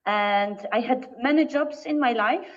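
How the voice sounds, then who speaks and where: A woman from Poland with a Polish accent, a podcast guest telling her story in English, often pausing to search for words. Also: a little high. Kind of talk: casual interview talk. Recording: distant, dull lo-fi remote audio.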